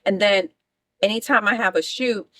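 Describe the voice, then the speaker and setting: A woman from New York with a New York accent, a guest chatting casually about her business and telling lively stories. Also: mid-range voice, normal speed. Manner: lively storyteller who acts out quoted voices. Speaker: guest, business owner and photographer. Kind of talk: casual conversational interview.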